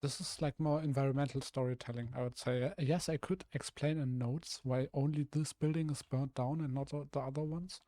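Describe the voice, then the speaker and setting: A young man from Germany talks through his building plans in a casual stream, his voice rough and a little hoarse. He thinks aloud, trailing off and restarting often, in a mellow, warm tone. The sound is dry and close, with no room around it. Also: mid-range voice, steady tone, fairly quick, few pauses, no echo. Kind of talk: casual live-stream commentary while building.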